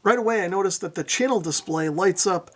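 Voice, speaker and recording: A man's voice: high voice, a little fast, very noisy. A middle-aged man narrating a casual hands-on product demo, explaining things methodically and without hurry. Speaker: radio reviewer, presenter.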